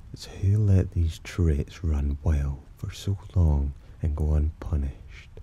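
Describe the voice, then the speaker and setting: Casting: male actor as condescending demon for ASMR roleplay, extremely low and husky, menacing yet teasing. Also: heavily acted. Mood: disdainful, brooding. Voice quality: very deep, dark, husky. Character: haughty, teasing male demon, disdainful of humans, possessively protective. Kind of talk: ASMR roleplay, intimate scripted monologue.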